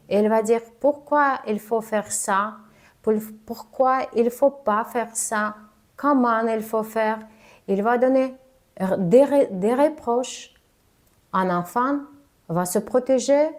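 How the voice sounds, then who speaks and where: A woman coach giving spoken advice on confident speaking, halting and careful as she talks in a language that is not her first. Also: fairly high pitch. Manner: careful, halting delivery of a non-native speaker. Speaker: communication coach.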